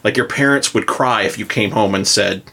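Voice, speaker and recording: A man's voice: lowish voice, moderate pace, bright, forceful tone. Informal American man chatting on a podcast. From rural United States, grew up on a farm. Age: 30s to early 40s. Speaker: podcast host. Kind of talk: casual podcast discussion.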